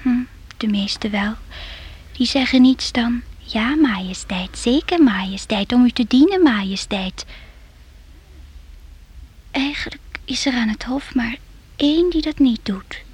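A woman playing a spirited young queen in a radio play, imperious yet affectionate, with a breathy voice. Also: fairly high pitch, lively intonation, unhurried, long pauses, heavily acted. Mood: intimate, confiding.

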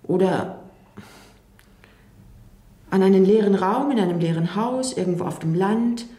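An actress playing a reflective grown daughter looking back on her father in a measured radio drama monologue. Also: medium pitch, warm tone, roomy sound, close to an everyday voice. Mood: wistful, pensive. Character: grown daughter recalling her withdrawn late father, pensive.

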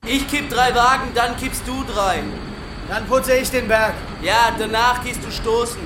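An actor playing a bossy, terse camp labourer with a raised voice in a radio drama. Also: male voice, very high-pitched, steady tone, unhurried, echoey room, noisy background. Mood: brisk, insistent.

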